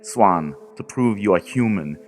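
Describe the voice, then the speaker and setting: A man's voice: on the low side, expressive, unhurried. A man playing a haughty, condescending demon in a radio play, speaking in a pompous, formal way. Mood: imperious. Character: haughty male demon, courtly, condescending, fiendish. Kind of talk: theatrical radio play monologue.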